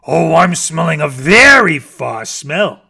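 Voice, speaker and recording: Big bragging storybook bear, played by a male reader: scornful, growly, forceful, with wide swoops in pitch. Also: very animated, gravelly, slightly rough, heavily acted. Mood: boastful. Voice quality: growling, snorting. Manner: bragging, emphatic, drawn-out words. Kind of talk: theatrical children's storybook reading.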